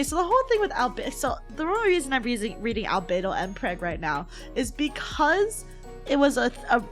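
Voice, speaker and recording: A woman's voice: mid-range voice, a bit bright. A woman livestreamer chatting casually and playfully with her viewers in a giggly, bantering way. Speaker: VTuber livestreamer.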